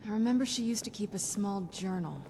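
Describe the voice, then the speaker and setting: A woman voicing a shrewd, composed young female vampire necromancer in a video game, speaking in a measured, dry, musing way. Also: medium pitch, expressive, background noise, close to an everyday voice. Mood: musing, intrigued. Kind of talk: video game character dialogue.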